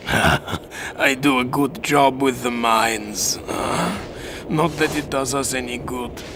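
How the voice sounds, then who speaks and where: An actor playing a dying, resigned soldier in a video game, weak and pained, speaking broken English. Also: male voice, medium pitch, takes it slowly, noisy background. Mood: bleak, resigned. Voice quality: gravelly, weak. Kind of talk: dramatic video game acting. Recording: music behind the voice.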